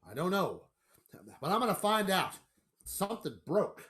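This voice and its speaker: A man's voice: sits high, very animated, moderate pace, fairly bright tone. An actor playing a brusque, down-to-earth father in a radio play, blustering and dismissive. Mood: grumbling, determined.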